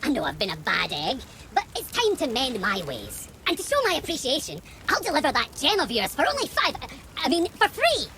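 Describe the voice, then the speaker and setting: A woman's voice: high-pitched, huge pitch swings, a little fast, hardly any pauses, background noise, heavily acted. A woman voicing a sly talking parrot in a game, wheedling and shifty as it tries to make amends. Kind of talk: game cutscene voice acting.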